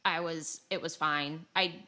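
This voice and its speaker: A woman's voice: deep voice, fairly even intonation, a little slow, bright, forceful tone. A woman chatting casually and informally about video games as a podcast co-host, rambling and self-deprecating.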